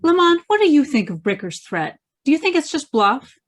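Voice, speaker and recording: A woman's voice: high-pitched, sing-song, fairly quick. A woman playing a poised, inquisitive heroine in a radio drama, with polished, questioning delivery. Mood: curious. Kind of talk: old-time radio drama acting.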